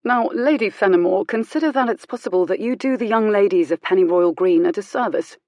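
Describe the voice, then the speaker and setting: A woman voicing a gentle, careful young clergyman with a measured, lightly courteous manner. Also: mid-range voice, dry recording, natural voice.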